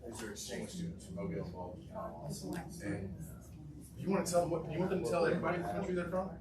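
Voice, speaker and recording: A relaxed man, a state lawmaker, chatting casually before a committee meeting. His voice is of middling pitch. He is heard from across an echoing room, with a noisy background. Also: fast. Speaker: state legislator. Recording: echoey room, distant mic, noisy.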